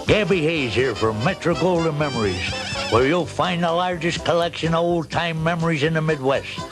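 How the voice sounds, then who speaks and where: A man voicing a folksy old western movie sidekick in a commercial pitch. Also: normal speed, music in the background, close to an everyday voice. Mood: folksy enthusiasm. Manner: folksy, drawling exclamations. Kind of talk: radio-style commercial pitch.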